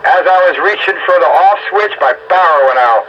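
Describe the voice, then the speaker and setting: A man's voice: fairly high pitch, expressive, brisk. A man chatting casually over two-way radio in a folksy, informal way. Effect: two-way radio transmission. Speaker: amateur radio net participant. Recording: muffled, lightly noisy ham radio transmission.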